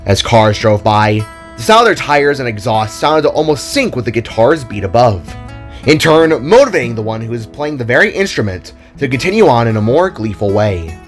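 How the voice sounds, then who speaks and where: A man narrating a scripted audio drama story in a deliberate, expressive storytelling manner. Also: mid-range voice. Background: solo acoustic guitar music.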